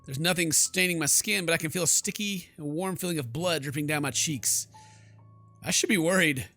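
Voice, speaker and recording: A man's voice: fairly high pitch, normal speed, bright, forceful tone, soft background music. A man hosting a game playthrough, reading the text aloud and commenting in a joking, exclamatory, informal way. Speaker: gaming video host.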